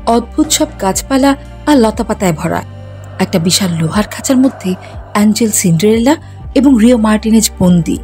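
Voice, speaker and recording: A woman's voice: on the high side, a little mellow. A woman reading scripted fairy-tale narration as the storyteller. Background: faint music.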